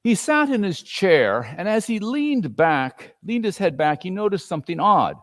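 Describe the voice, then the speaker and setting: A man narrating a classic story as a dramatic reading, with expressive, theatrical delivery. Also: sits high, moderate pace. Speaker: narrator and performer. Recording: reverberant hall.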